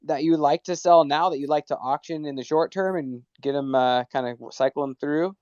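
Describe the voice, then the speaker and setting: Smooth-voiced man hosting a casual call. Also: fairly high pitch, moderate pace, a little mellow, dry recording. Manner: rambling, loose, many fillers. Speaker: host. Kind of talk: casual chat. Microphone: remote call audio. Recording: dull lo-fi online call audio.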